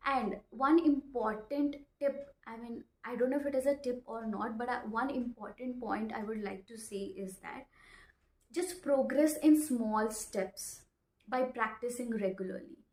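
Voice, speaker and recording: A woman's voice: a little high, a little slow, darkish tone. A woman in her twenties with an Indian accent, a non-native English speaker, presenting an informal lesson to camera, emphatic and repeating phrases with frequent check-ins. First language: not English. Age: 20s. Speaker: English-learning video presenter. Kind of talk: informal instructional talk to camera. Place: small bare indoor room. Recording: echoey bare room.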